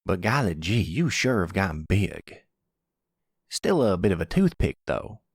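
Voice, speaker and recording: A man's voice: low-pitched, big pitch swings, unhurried, husky, no echo, clean studio sound, full character voice. A man playing a domineering old grandfather who is a police officer, folksy, sardonic and scolding, in a roleplay audio drama.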